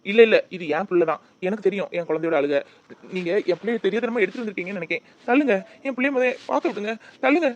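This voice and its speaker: A man's voice: fairly high pitch, quick pace, lo-fi sound, close to an everyday voice. A young man playing an anxious father who calls out over and over for his child. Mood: insistent. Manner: frantic, repeats name calls. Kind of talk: Tamil drama acting.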